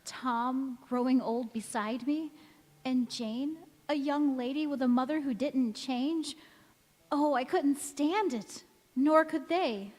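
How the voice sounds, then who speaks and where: A woman's voice: sits high, takes it slowly, darkish tone. A woman acting in a stage radio play as an anguished, devoted young wife who is cursed never to age, speaking in an earnest, melodramatic way. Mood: desperate, resolute. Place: large reverberant church or hall. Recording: echoey hall, music behind.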